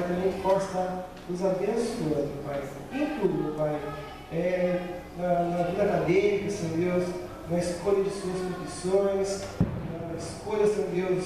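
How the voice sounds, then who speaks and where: A man leading a spoken church prayer, filling every phrase with "Lord God" and "Father". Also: high-pitched. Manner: repeats "Senhor Deus" and "Pai" between every phrase. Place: large church hall. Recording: echoey church hall, distant mic, background noise.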